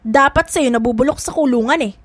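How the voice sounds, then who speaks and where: A woman's voice: high-pitched, a little fast, dry recording. A woman voicing a hot-headed, protective young man in an audiobook drama, brash and threatening. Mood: angry, threatening. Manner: threatening, brash, mixes in English.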